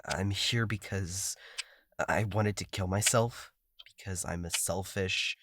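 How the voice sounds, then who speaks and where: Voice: low voice, steady tone, takes it slowly, fairly bright tone, slight background noise, full character voice. An actor playing an anxious, sullen agender psychiatric patient in an audio drama, speaking in a hesitant, guarded way. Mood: bitter despair.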